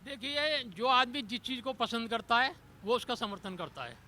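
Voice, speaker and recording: A man's voice: very high voice, expressive, moderate pace, pushed and bright. A man giving his opinion in the street, casual but emphatic and repetitive. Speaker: member of the public. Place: outdoors on a street. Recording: faint outdoor street noise.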